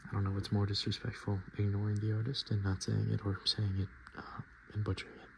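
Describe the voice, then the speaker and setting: A man speaking softly and often whispering in a casual ASMR style, with hesitant, halting, murmured delivery. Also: on the low side, monotone, gravelly. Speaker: ASMR video host. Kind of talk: soft-spoken and whispered ASMR, casual commentary. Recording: noticeable background noise.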